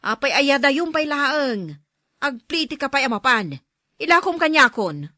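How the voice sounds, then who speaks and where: A woman performing a sharp-tongued, scheming mother who bargains hard and scolds her sister. Her voice is high, bright and forceful. It is recorded close and dry. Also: very animated, a little slow, no echo, full character voice. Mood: bargaining. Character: shrewd scheming mother, haggling businesswoman. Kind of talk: radio drama acting.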